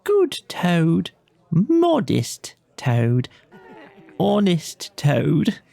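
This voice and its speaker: A man voicing a sneering chief weasel in a storybook, with a high, squeaky voice and a mock-formal speechmaking manner. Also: wildly swooping pitch, drawn-out words, gravelly, warm tone, exaggerated voice. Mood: smug, mocking. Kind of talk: storybook character voice in audio play.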